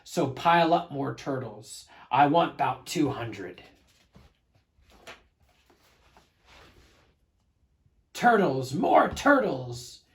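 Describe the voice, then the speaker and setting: Man putting on a loud, pompous turtle king. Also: medium pitch, big pitch swings, takes it slowly, creaky, heavily acted. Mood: angry, commanding. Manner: pompous, bellowing, barking. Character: boastful, tyrannical turtle king, pompous and domineering.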